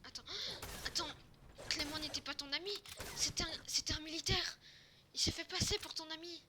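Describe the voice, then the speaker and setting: A young woman playing a forceful, confused half-zombie survivor in an improvised game roleplay, speaking informally and haltingly with repetitions. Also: high-pitched, steady tone, natural voice. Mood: puzzling, scheming. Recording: noisy home recording with clicks.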